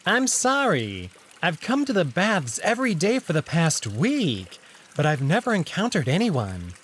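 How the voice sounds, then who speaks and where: A man's voice: high-pitched, wildly swooping pitch, measured pace, a bit bright, husky, pitched above the performer's own voice, heavily acted. A man voicing a suave male jackal courtier in a visual novel, with polite, airy small talk that carries a hidden edge. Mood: feigned politeness.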